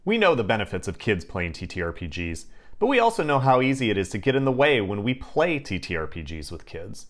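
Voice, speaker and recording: A man reads a scripted podcast introduction as its narrator. His medium-pitched voice has animated intonation, with soft music underneath.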